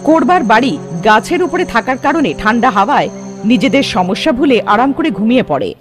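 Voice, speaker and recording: A man's voice: very high-pitched, very animated, quick pace. A man narrating a scripted cartoon story in a dramatic storytelling manner. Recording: long reverb, music underneath.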